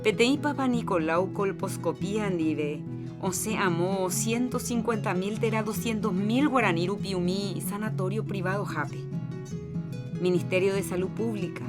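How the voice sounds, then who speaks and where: A woman presenting a scripted public health segment on a radio program. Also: medium pitch. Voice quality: clear, informative reading. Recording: music bed under the voice.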